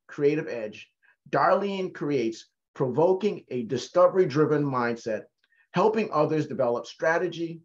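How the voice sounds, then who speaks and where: A man moderating a panel, reading a guest's introduction in a formal, measured way. His voice is mid-pitched and comes through muffled, lo-fi audio.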